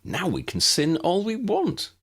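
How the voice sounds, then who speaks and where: A man voicing a bright, bold and teasing young woman in an audiobook story. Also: high-pitched, huge pitch swings, unhurried, breathy edge, pitched above the performer's own voice, full character voice. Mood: delighted, cheeky.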